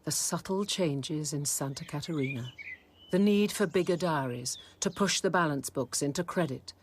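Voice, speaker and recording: Convent apothecary nun for radio drama, female actor: lowish voice, guarded, formal, conscientious. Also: normal speed, slight background noise, close to an everyday voice. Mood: brooding narration. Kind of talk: radio drama acting and narration.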